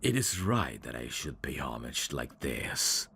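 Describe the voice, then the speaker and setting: A man voicing a sly demon servant in an ASMR audio drama, courtly and theatrical with irreverent, sardonic asides and a very deep, gravelly voice. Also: sing-song, unhurried, pushed and bright, slight background noise, heavily acted. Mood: reverent, courtly.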